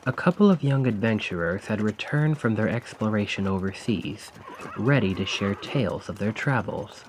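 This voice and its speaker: A man's voice: on the low side, lively intonation, normal speed, a little hiss or hum, natural voice, rough recording. A man playing a vengeful, sardonic carriage driver in an ASMR audio drama, speaking in a conspiratorial, taunting way. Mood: sly, teasing. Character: vengeful male carriage driver, sly, mocking, coldly controlled.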